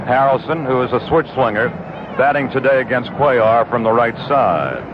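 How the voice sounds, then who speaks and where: An American man calling baseball play-by-play for radio in measured, descriptive short phrases. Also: medium pitch, expressive. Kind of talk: live radio sports play-by-play. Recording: noisy dull vintage radio broadcast. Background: loud stadium ambience.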